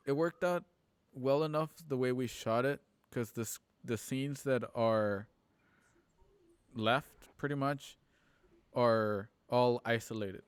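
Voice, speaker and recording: A man with a smooth, clear voice hosts a casual group chat from home. He explains things in a halting way, often repeating the start of a phrase. The sound is dry and close, with a middling pitch. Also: no echo. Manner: halting, repeats words as he goes. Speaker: host and producer of a streamed radio drama show. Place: at home on a group video call.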